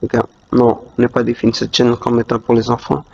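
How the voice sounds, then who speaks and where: A man's voice: medium pitch, monotone, fairly quick, gravelly, dark tone. A man hosting a screen-recorded tutorial walkthrough, talking hesitantly with many fillers and restarts. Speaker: YouTube tutorial host. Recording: dull lo-fi voice-over.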